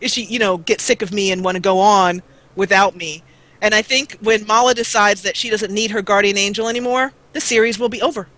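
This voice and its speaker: A woman's voice: steady tone, fairly quick, a bit bright, no room sound, rough recording. A woman talking casually as a guest on a show about gaming videos and role-playing. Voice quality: low-voiced. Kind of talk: casual chat.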